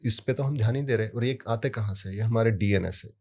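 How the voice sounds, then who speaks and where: A low-pitched man speaks in an easy, explaining way, weaving family stories into his points. He pushes sudden emphasis onto the words that matter, then drops back to a calm, even tone. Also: fairly quick, slightly rough. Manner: storytelling with anecdotes, emphatic rises on key words. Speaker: sound therapy guest. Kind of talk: conversational explaining.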